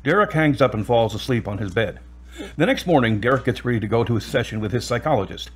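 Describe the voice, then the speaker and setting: A man narrating an audio drama from a script. His voice is husky, at an ordinary male pitch. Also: animated, quick pace.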